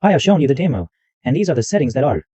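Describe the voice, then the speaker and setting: A man hosting a screen-recorded software tutorial, narrating every click step by step in loose, repetitive wording. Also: mid-range voice, very animated, racing, slightly rough, no room sound. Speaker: tutorial host. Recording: dull lo-fi dry mic sound.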